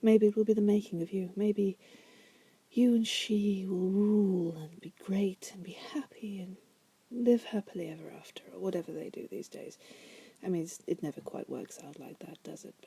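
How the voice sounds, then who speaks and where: Woman playing a dark, breathy, whispery demoness. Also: mid-range voice, normal speed, dry recording. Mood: wry, musing. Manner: teasing, musing, drawling asides with soft chuckles. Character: wry, seductive female demon prisoner, patient deal-maker. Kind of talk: ASMR roleplay.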